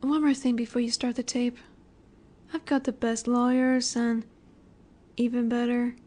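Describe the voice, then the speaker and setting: A woman performs a female mafia boss with a distinctly high voice. She is coy and self-assured, speaking deliberately to the listener in an audio roleplay. Menace sits under her flirtatious, teasing manner. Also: mellow. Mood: silky threatening.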